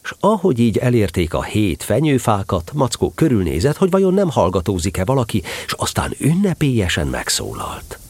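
Husky man narrating an animated storybook. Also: fairly low pitch, few pauses, no echo.

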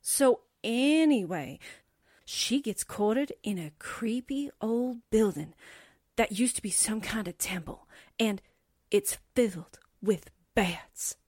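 Woman as a high-pitched, chatty newspaper assistant. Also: animated, slow, darkish tone, no room sound, studio quality. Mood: eager storytelling. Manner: folksy, gossipy storytelling. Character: chatty, dramatic young female newspaper assistant. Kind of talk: radio drama comedy acting.

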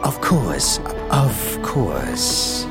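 Man doing a very slow, sly schemer. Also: mid-range voice, very animated, heavily acted. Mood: sly approval. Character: sly, shady man offering jobs, oddly amused. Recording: loud music over the voice.

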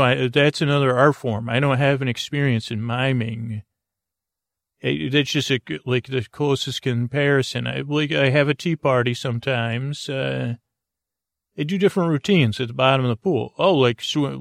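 A man with a gravelly voice hosts a podcast meant to put people to sleep. He talks slowly through an aimless story, often stumbling, restarting and filling gaps with "uh." The sound is close and dry, with no room around it. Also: medium pitch, no echo. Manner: meandering, halting, full of restarts and uhs. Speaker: podcast host. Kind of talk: rambling bedtime story to put listeners to sleep.

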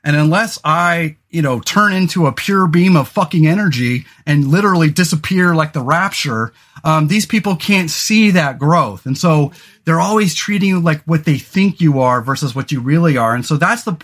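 A man in his forties talks through a casual podcast chat, his voice sitting a little high for a man. He rambles, doubles back on himself and fills gaps with "um" and "you know," dropping the odd curse along the way. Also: normal speed, fairly bright tone. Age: 40s. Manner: rambling, many fillers and restarts, swears casually. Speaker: podcast host.

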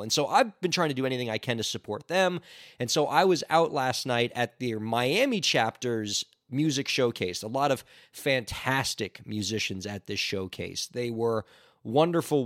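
A man hosting his own podcast in an upbeat, chatty and earnest way, his voice hoarse from laryngitis. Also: mid-range voice, normal speed, dry recording, studio quality. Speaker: podcast host. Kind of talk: casual solo podcast talk.

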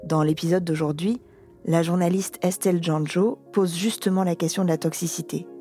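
A woman hosting a podcast, reading a reflective scripted introduction in a measured way. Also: on the low side, steady tone, mellow, faint music behind. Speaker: podcast host. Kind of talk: scripted narration.

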